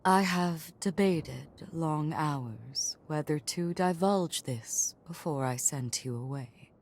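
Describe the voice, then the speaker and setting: An actress playing a solemn, commanding mother in a video game, speaking in a grave, formal and measured way to guide heroes on a final mission. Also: deep voice, a little mellow, exaggerated voice. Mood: grave, hesitant. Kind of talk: video game cutscene acting.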